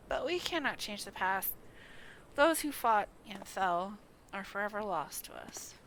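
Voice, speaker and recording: A grave clan father from a fantasy game voiced by a woman, formal, full of loss and gratitude. The voice is bright, the pace slow. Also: mid-range voice, expressive, slight background noise, rough recording. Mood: regretful.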